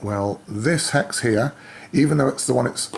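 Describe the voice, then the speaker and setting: A man with a slightly croaky voice gives casual play-by-play of a solo board game, thinking aloud with hesitant pauses. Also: medium pitch. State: croaky voice, coughing now and then. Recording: noticeable background noise.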